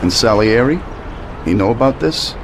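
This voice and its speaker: A man playing a lively-toned, laconic mobster. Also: mid-range voice, normal speed, background noise, lightly acted. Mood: guarded. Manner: terse, matter-of-fact. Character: laconic, pragmatic, loyal mob enforcer. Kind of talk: video game cutscene acting.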